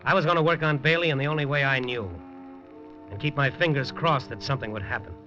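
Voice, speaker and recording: An actor playing a hard-boiled newspaper reporter who narrates with a wry, clipped noir delivery in 1950s radio style. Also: male voice, medium pitch, quick pace, pushed and bright, lightly acted. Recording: dull old radio broadcast with music.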